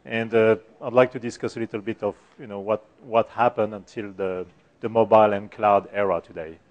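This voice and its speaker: Soft-spoken French-accented man presenting. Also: fairly low pitch, fairly even intonation, lo-fi sound. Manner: halting, many fillers and restarts. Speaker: conference speaker. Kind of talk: technical conference talk. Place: conference room.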